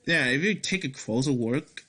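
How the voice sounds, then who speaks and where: A man giving casual devlog commentary as a game developer, speaking haltingly with repeated words and mild swearing. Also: mid-range voice, expressive, sharp tone, breathy edge. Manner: halting, repeats and restarts phrases. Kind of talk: casual screen-recorded commentary, reading and answering feedback.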